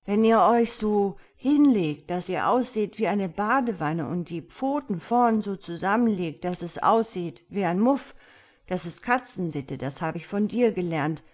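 A woman's voice: mid-range voice, lively intonation, unhurried, a little mellow. A woman voicing an innocent, curious little boy in a storybook, with a pleading, childlike way of asking. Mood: puzzled, disappointed. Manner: pleading, wide-eyed, childlike questioning. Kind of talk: storybook character voice.

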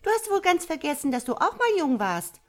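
A woman's voice: extremely high pitch, sing-song, fairly quick, voice pushed up. A woman voicing a smug, carefree young female tadpole in a storybook, pert and dismissive.

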